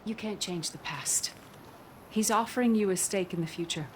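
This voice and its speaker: A woman's voice: mid-range voice, normal speed, lightly acted. An actress playing an earnest, level-headed woman ally in a video game, firm in manner. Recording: clear background ambient noise.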